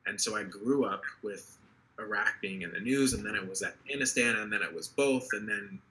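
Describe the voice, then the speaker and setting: A man's voice: on the low side, normal speed, bright, forceful tone, rough recording. A man in his twenties, an earnest political candidate speaking passionately on a video call, often restarting his sentences. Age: 20s. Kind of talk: interview over video call.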